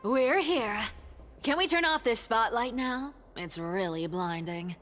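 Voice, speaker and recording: A woman's voice: on the high side, lively intonation, a little slow, fairly bright tone, big-room sound, faint music behind. An actress playing a playful, greedy female thief boss in a video game, with a teasing, theatrical delivery. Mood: wry. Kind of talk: video game character acting.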